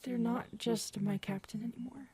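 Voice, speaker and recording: A woman playing a big, earnest predatory alien woman in an intimate audio roleplay, soft and hesitant, often murmuring. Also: a little high, fairly even intonation, normal speed, husky. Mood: tender, uncertain. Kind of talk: audio roleplay acting, addressed to listener.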